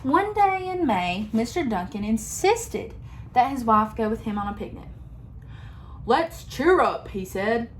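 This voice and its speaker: A young woman teacher reading a picture book aloud to her class in a slow, expressive storytelling manner. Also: on the high side, slight background noise, poor audio.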